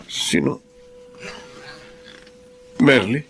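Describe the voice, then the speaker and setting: A man's voice: medium pitch, expressive, extremely slow, vocal fry, noisy background, exaggerated voice. An actor in a radio drama playing a humbled, repentant father who speaks earnestly. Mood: reflective.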